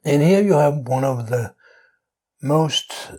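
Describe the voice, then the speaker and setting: Slow-paced Danish man giving political commentary. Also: a little high, lively intonation, dark tone. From Denmark. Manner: halting delivery with non-native English phrasing. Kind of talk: opinionated political monologue.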